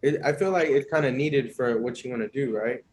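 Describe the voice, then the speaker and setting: A man's voice: medium pitch, fairly quick. A young man hosting a casual interview, speaking informally and encouragingly, with plenty of filler words. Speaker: podcast host, interviewer.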